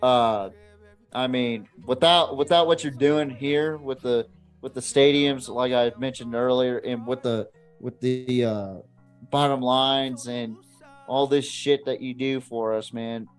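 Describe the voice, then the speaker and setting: A man's voice: medium pitch, steady tone, a little slow, clean tone, faint music behind. A man co-hosting a podcast, chatting casually to viewers in a blunt, swearing, rambling way. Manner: profane, blunt. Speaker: podcast co-host.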